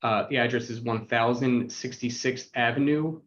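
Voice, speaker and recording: A man's voice: mid-range voice, steady tone, normal speed, lo-fi sound, a little hiss or hum. A man, a city attorney, reading case numbers and addresses in a formal, methodical way at a public hearing over a video call.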